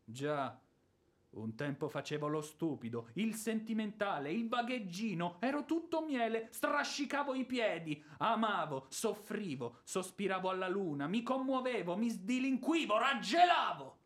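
Boorish ex-officer, hot-tempered, blustering, high voice, very animated, theatrical radio drama. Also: male voice, unhurried, heavily acted. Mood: ranting, theatrical. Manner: blustering, theatrical, shifting from muttering to shouting. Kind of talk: radio drama stage acting.

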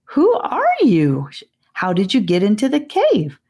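A woman's voice: mid-range voice, wildly swooping pitch, unhurried, warm tone, slightly rough, pitched above the performer's own voice, exaggerated voice. Earnest guarded kid girl lady reading her, storybook style, voice goes up and down a lot. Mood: suspicious, questioning. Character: guarded young cave girl, suspicious, earnest sentry.

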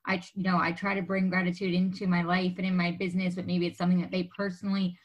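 A woman's voice: fairly low pitch, level tone, fast, lo-fi sound. A woman hosting a podcast, asking long, roundabout questions in a chatty, complimentary, conversational way. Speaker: podcast host. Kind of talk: conversational interviewing.

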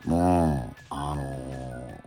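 A middle-aged man hosting a radio show in casual, animated banter. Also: very deep voice, drawn-out words, soft background music. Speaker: radio show host and stage actor.

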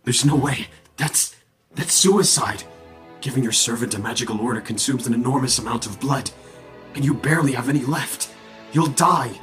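A man's voice: mid-range voice, normal speed, fairly bright tone, natural voice. A man voicing a haughty vampire villain, boastful but cowardly, with a dramatic, scornful delivery in an audio roleplay. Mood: alarmed. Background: background music.

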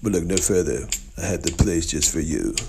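Deep-voiced man in a sleazy mock ad. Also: expressive, moderate pace, gravelly, background noise. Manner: sleazy infomercial pitch. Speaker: parody ad announcer. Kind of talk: mock commercial voice-over. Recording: music bed behind.

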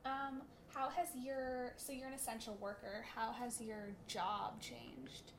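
A woman's voice: fairly high pitch, flat delivery, slow pace. A woman asking interview questions in a casual way. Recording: clearly noisy background.

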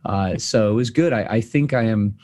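A man's voice: lowish voice, normal speed, a little mellow. A man hosting a podcast, chatting casually and rambling with fillers and restarts. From United States. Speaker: podcast host. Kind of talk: casual chat.

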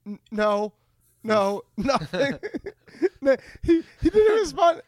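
A man with an extremely high-pitched voice banters casually as a podcast co-host. He is animated, restarting phrases and slipping into mimicry, and sounds close and dry. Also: takes it slowly, no echo. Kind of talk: casual comedic chat.

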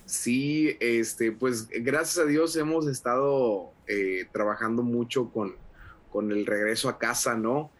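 A young man from with a mid-pitched voice talks on radio as a pastor. He speaks fervently, restarting phrases and leaning on fillers as he goes. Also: slow pace. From Mexico. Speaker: associate pastor. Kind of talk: radio interview guest.

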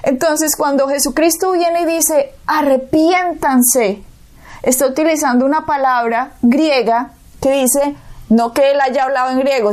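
A Colombian woman with a high, bright-pitched voice leads a Bible teaching conversation. She preaches emphatically, pressing her point with rhetorical questions aimed at the listener. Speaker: co-host. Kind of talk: Bible teaching talk show.

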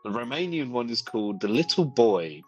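A man's voice: mid-range voice, big pitch swings, normal speed, muffled recording, soft background music. A man co-hosting a podcast, telling stories in casual comic banter with wry jokes and swearing. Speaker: podcast co-host.

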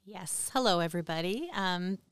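This is a woman in her forties with a Boston accent, speaking as a guest on a podcast. Her voice sits on the low side and is strikingly smooth and clean. She talks conversationally and haltingly, finding her words as she goes, in a dry recording with little room sound. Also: dry recording. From Boston, USA. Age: 40s. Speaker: podcast guest, military veteran and mother. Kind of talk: conversational personal introduction.

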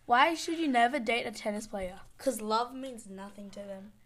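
A woman's voice: a little high, moderate pace, crowd noise. A young woman co-hosting a casual podcast, reading out jokes and puns between giggles. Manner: giggly, reads puns aloud. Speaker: podcast co-host.

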